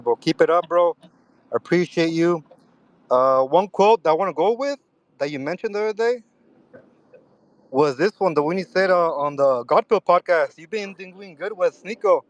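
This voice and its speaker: A man's voice: on the high side, dry recording, lo-fi sound. A man in his twenties from the United States, calling in to a live chat and talking in an eager, slangy way. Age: 20s. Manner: eager, slangy, says bro a lot. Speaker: caller. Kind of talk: casual chat.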